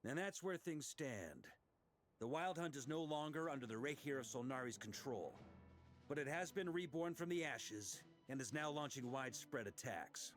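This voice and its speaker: A man's voice: mid-range voice, animated, moderate pace, soft background music, natural voice. A man voicing a frank, friendly male knight commander in a game, briefing his allies. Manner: friendly and direct, briefing others. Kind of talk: game voice acting.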